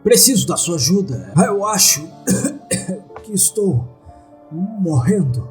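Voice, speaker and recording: A dying Brazilian father as a male narrator acts him out pleads with his son in a horror story. The voice is halting and strained upward in pitch, with faint music behind. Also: expressive. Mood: pleading, weak.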